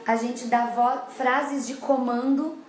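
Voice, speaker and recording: A woman with a Brazilian Portuguese accent, a child development educator, talks parents through her points in a plain, explanatory way and circles back to repeat things. Her voice sits a little high. The sound carries the echo of a hard-walled room. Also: steady tone, slow pace, a little hiss or hum. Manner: explanatory, repeats herself often. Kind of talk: casual talk to parents. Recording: echoey room.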